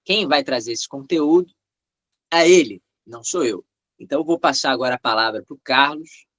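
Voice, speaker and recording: A man moderating a video call session, introducing the guest speaker in a measured, formal way. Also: mid-range voice, fairly bright tone, no room sound.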